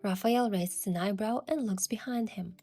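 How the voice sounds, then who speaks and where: Game heroine, female performer: young would-be princess, mellow and soft, wistful first-person storytelling, faint music behind. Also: mid-range voice, normal speed, lightly acted. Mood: soft, descriptive. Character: curious young woman posing as an indulgent princess. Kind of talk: scripted first-person story narration with acted dialogue.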